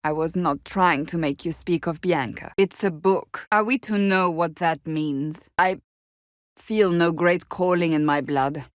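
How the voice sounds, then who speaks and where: A woman's voice: on the low side, measured pace, dry recording, natural voice. Woman acting a proud warrior woman, blunt, formal, easily exasperated, game dialogue, close dry sound. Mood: stern, matter-of-fact. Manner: clipped, formal, blunt delivery. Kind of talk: video game character dialogue.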